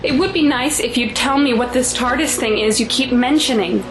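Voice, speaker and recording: High-pitched anguished mother played by a woman. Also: moderate pace, over music, lightly acted, rough recording. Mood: worried, subdued. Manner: emotional, pleading. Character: anguished, guilt-ridden mother fearing for her son. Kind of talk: audio drama acting.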